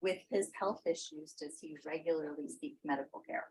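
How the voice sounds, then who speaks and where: A woman's voice: deep voice, moderate pace, a little mellow, a little hiss or hum, low-quality recording. An American woman working as a defense attorney, questioning formally in a courtroom.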